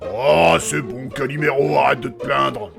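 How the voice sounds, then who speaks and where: Guy playing a crude gravelly warrior big bro, super creaky, slangy and teasing, funny fantasy audio drama. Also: medium pitch, fairly even intonation, unhurried, over music. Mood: mocking. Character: crude, mocking warrior, big brother of a heroine. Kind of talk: comic audio fiction acting.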